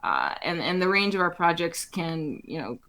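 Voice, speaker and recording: Low-voiced woman, thoughtful architect guest. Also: big pitch swings, moderate pace, a bit bright. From Alaska. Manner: thoughtful, frequent restarts and fillers, laughs lightly; speaks with conviction in a lowered register. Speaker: architect and firm CEO, guest. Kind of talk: casual interview conversation.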